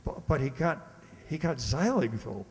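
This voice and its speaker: An actor playing an alarmed, excitable man in a radio play. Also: mid-range voice, normal speed. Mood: stunned. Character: alarmed, excitable male victim of his own robot double. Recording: echoey hall, stage radio play.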